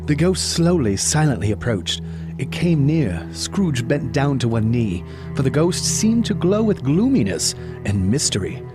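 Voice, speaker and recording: A man narrating a scripted dramatic tale as storyteller, solemn and measured. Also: medium pitch, big pitch swings. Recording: music behind the voice.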